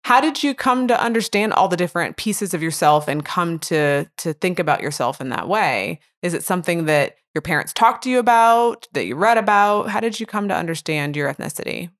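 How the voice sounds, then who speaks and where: A woman hosting a podcast interview, asking gentle, measured questions. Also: fairly low pitch, lively intonation. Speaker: podcast host.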